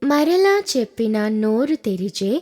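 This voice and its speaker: A woman narrating a folk tale for a children's cartoon in a slow, singsong storytelling manner. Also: on the high side, big pitch swings, a little mellow.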